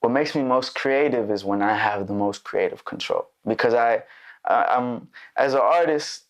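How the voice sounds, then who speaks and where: A young man who grew up in Chicago after being born in Mexico talks as a musician guest in a studio interview. His voice sits at an ordinary middle pitch, and he rambles in a loose, relaxed way. He restarts his sentences often and leans on "you know.". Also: normal speed. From Mexico, raised in Chicago. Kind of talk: casual interview chat.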